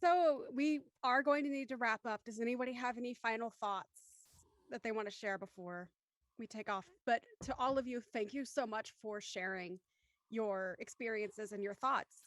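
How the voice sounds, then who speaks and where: A woman's voice: on the high side, moderate pace, a bit bright, dry recording. Woman hosting, close dry sound. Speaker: host, moderator. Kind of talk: hosting a casual panel discussion.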